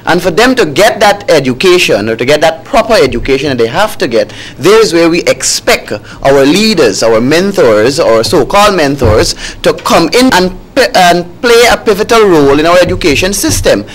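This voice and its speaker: A young Guyanese man speaks in a somewhat high-set voice. He argues his case as a student activist in a panel discussion, repeating key phrases to drive them home. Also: big pitch swings, normal speed, few pauses. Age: young adult. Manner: emphatic, repeats phrases for stress.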